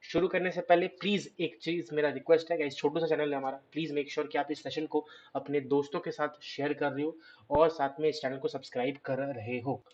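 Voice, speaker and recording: A man's voice: on the high side, level tone, brisk, darkish tone, lo-fi sound. A man giving a casual online math lecture, talking to viewers like friends and mixing in English phrases.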